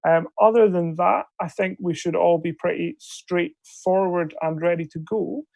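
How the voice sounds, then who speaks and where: A man's voice: sits high, steady tone, a little slow, vocal fry, darkish tone, no echo, poor audio. A man chairing an online debate on a video call, giving polite, hesitant instructions full of ums. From Scotland. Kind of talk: procedural briefing on a video call. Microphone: video call microphone.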